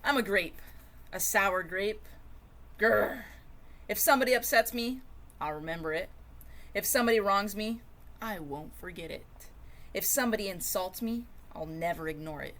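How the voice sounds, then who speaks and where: A woman's voice: medium pitch, normal speed, pauses often, fairly bright tone, a little hiss or hum, lightly acted. A woman voicing a grumpy, touchy sour grape in a children's storybook, snappy and emphatic. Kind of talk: first-person children's storybook read-aloud.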